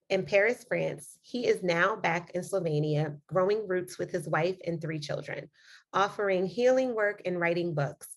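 A woman co-hosting a podcast, chatting enthusiastically and upbeat, and reading a guest introduction. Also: fairly low pitch. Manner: enthusiastic, upbeat, laughs often. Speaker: podcast co-host. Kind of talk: casual chat and read introduction.

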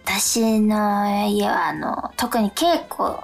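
A woman's voice: medium pitch, animated, extremely slow, gravelly, soft background music. A woman co-hosting a radio show, chatting casually in a polite, responsive way. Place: remote recording from home.

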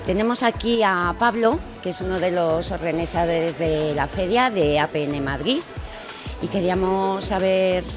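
Woman radio host over a music bed. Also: fairly low pitch. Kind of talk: live radio hosting. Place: outdoors in city park gardens.

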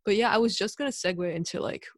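A woman's voice: mid-range voice, fast talker, loud music behind the voice. A young woman leading a casual chat with friends, easygoing and full of "um" and "mm" replies. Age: young adult. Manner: easygoing, many "um" and "mm" responses.

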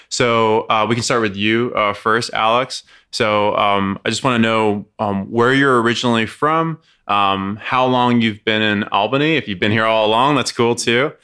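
A man's voice: fairly low pitch, pushed and bright, clear, resonant tone. A man hosting a casual podcast interview, laid-back and easygoing, with lots of fillers and easy laughs. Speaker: podcast host.

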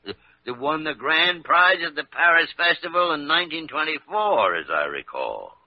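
An older actor playing a gruff, sly senior doctor who chuckles often, in 1940s radio acting. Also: male voice, fairly high pitch, big pitch swings, normal speed, no room sound. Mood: wry. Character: gruff wise old senior doctor man. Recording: muffled old radio broadcast.

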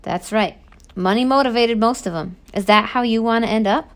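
A woman narrator plays a furious middle-aged prison warden from a children's novel. He is gravelly and forceful, scolding with stern, accusatory authority. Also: on the high side, normal speed, close to an everyday voice. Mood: barking, angry.

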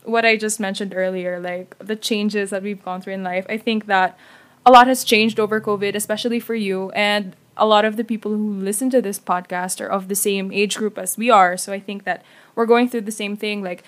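A woman's voice: medium pitch, fairly even intonation, normal speed. Young Filipina host, casual chatty delivery, recorded away from her usual setup. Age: young adult. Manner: chatty, laughs often, trails off softly. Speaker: podcast host. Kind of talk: casual podcast chat.

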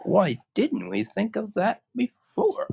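A woman voicing an eager, friendly scarecrow who speaks in an excited way. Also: mid-range voice, slow pace, creaky, breathy edge, voice pushed up, exaggerated voice.

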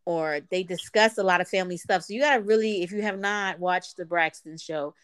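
A woman's voice: fairly low pitch, fairly quick, fairly bright tone, clean tone. A woman co-hosting a podcast, congested from a cold, rambling informally with many fillers. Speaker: podcast co-host. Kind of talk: casual chat.

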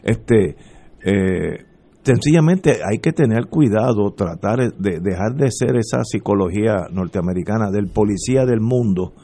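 Emphatic radio host. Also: male voice, on the low side, lively intonation, measured pace, dark tone. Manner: emphatic, rhetorical questions, frequent restarts. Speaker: radio talk show host. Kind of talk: political commentary on talk radio.

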